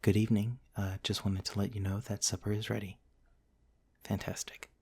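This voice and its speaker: A man voicing a courteous, gentle, old-fashioned vampire in an ASMR roleplay, speaking in formal, polite and slightly hesitant phrases to the listener. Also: lowish voice, level tone, normal speed, gravelly, background noise. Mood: attentive, polite. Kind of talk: ASMR roleplay, soft-mannered dramatic monologue to the listener.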